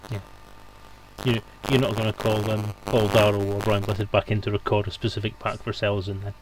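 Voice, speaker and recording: A man's voice: fairly low pitch, flat delivery, fairly quick, slight background noise, low-quality recording. A man hosting a casual live-stream interview over a voice call, speaking informally and a little hesitantly. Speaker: stream host.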